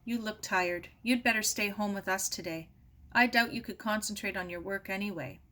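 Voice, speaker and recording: A woman voicing a worried, motherly storybook aunt who gently scolds and fusses. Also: mid-range voice, moderate pace, lightly acted. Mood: concerned.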